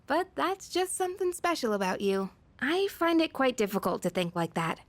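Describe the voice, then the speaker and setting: An actress voicing a friendly, expressive, chatty teenage girl with an American accent in a video game. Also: sits high, moderate pace, dry recording. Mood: chatty, reflective. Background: game music.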